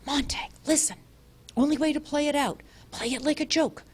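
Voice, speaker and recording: Brief: a woman playing an old man's hurried, tense earpiece voice, urgent and low. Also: close to an everyday voice.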